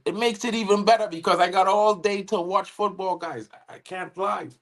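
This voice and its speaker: A man from Trinidad and Tobago with a Trinidadian accent, co-hosting a live football watchalong in casual chat, giving shout-outs to viewers and often saying "you know what I mean". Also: high-pitched, fairly even intonation, normal speed. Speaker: watchalong co-host.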